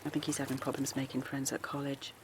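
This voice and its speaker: An actress playing a kindly middle-aged mother of two grown sons, chatty and reassuring, with a quiet worried edge. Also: very deep voice, completely flat delivery, quick pace, a little hiss or hum. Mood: warm reassuring. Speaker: campaigner. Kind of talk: naturalistic audio drama acting. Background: faint outdoor seaside ambience.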